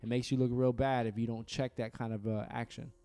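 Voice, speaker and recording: Male podcast co-host from New York, mellow and smooth, easygoing and conversational. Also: medium pitch, a little fast. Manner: relaxed, conversational, hedging. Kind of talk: casual podcast discussion. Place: studio room across from co-host.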